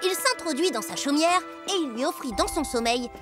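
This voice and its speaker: A high-pitched, mischievous young boy tells stories in a cartoon. The delivery is theatrical and cheeky, swinging up and down in unhurried, sing-song rhymes over clear background music. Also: expressive. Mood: theatrical storytelling. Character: excitable, mischievous young boy narrating tales. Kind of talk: animated cartoon dub, rhymed storytelling.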